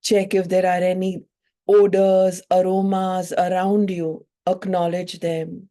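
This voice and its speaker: Slow, mellow woman guiding meditation. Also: on the low side, level tone. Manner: soothing, measured phrases with long pauses. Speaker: yoga and breathwork teacher. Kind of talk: guided meditation instruction.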